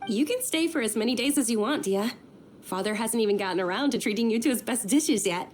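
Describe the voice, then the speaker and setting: A woman playing a caring, insistent, well-bred young lady in a video game, earnest and expressive in her delivery. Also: fairly high pitch, a little fast, slight background noise, lightly acted. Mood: warm, delighted.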